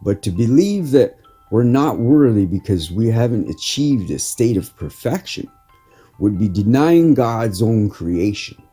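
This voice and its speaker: A man with a very dark, mellow and relaxed voice speaks earnestly as an inspirational podcast host. His deep-leaning pitch rises and falls in a lively way, with deliberate phrasing. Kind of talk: inspirational spoken article reading.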